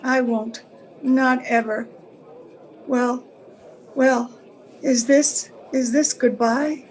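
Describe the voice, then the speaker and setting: An actress playing a sentimental, lovelorn married woman in old-time radio melodrama, speaking earnestly in halting phrases. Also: high voice, steady tone, rough recording. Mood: grateful, sad.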